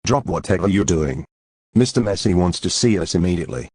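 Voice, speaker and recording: A man playing an anxious, deferential store manager in a radio drama, with a husky voice. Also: low voice, expressive, fairly quick, a little mellow, dry recording, clean studio sound. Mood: urgent, anxious.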